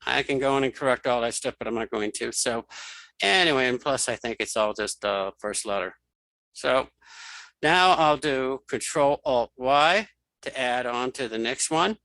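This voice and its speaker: A man giving a casual software tutorial, thinking aloud as he demonstrates each step. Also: mid-range voice, moderate pace, creaky, no room sound, rough recording. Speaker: instructor.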